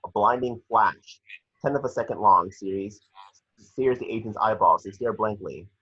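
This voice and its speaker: Low, muffled man narrating a script. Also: moderate pace, fairly bright tone, slightly rough. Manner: matter-of-fact, with stumbles over words. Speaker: narrator reading action descriptions. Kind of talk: scripted narration at a table read.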